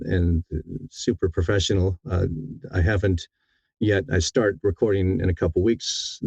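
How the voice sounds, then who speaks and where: A man's voice: deep voice, vocal fry, mellow, husky, dry recording, lo-fi sound. A man talking casually as an interviewed audiobook narrator, rambling and correcting himself with enthusiasm.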